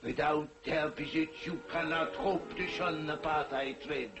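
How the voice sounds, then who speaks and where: An actor playing the solemn, mournful ghost of a dead man in a slow, portentous 1940s television drama style. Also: on the high side, fairly even intonation, lightly acted. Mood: grave warning. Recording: old 1940s TV soundtrack, music behind.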